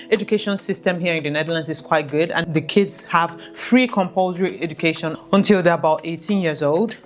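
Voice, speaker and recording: A woman speaking earnestly and directly to viewers as she gives practical advice in a vlog. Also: on the low side. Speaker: expat vlogger giving advice.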